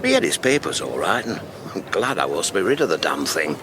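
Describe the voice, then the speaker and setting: A blunt, grumbling old-time sea captain in a radio drama. The voice is fairly low and very lively in pitch, with clear waterside noise around it. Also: male voice, a little fast. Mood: gruff, relieved. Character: gruff, disgruntled male sea captain. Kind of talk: period audio drama dialogue.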